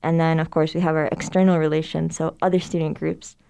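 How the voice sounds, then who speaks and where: A woman's voice: low voice, a little fast, creaky, clean tone. A young woman from Somalia, a student club president, talking casually and at length as a podcast guest. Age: young adult. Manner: relaxed, friendly, explains at length with fillers. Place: room with others.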